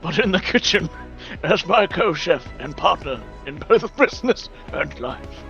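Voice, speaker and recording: A man playing a pompous, suave gentleman chef in a comic dating game, courting in a theatrical, courtly way. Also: a little high, expressive, measured pace, muffled recording, soft background music. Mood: earnest, romantic. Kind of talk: comic dating-sim voice acting.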